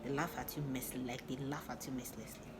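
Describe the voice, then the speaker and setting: A young woman with a Nigerian accent chats through a personal story, her voice sitting low. She laughs often and restarts her sentences as she goes. A loud noise runs steadily behind her. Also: fairly even intonation, racing. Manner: chatty, laughing, with many restarts and asides. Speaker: vlogger. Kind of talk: casual storytelling to camera.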